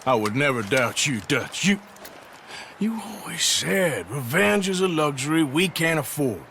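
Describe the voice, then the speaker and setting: An actor playing a loyal but wary American outlaw gunman in a video game, gruff, laconic and plain-spoken. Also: a little high, lively intonation, a little slow, fairly bright tone, a little hiss or hum, full character voice. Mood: doubtful. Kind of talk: dramatic video game acting.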